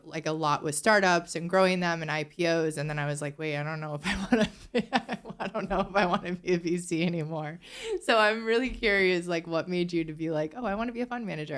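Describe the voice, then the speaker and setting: A woman hosting a podcast interview, chatty and curious, jumping in with quick questions. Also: low voice, fairly bright tone. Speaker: podcast host. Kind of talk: casual interview chat.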